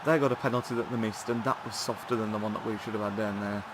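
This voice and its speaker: A man with a sore throat, giving excitable, chatty commentary to viewers while playing a football video game. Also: lowish voice, quick pace, noisy background. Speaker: gaming channel host.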